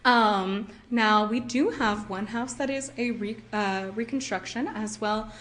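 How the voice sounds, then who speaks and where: Young woman, lively museum guide. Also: mid-range voice, measured pace. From Cow Island, Louisiana. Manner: lively, with frequent fillers and laughs. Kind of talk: interview answers. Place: indoors at a heritage village, on location.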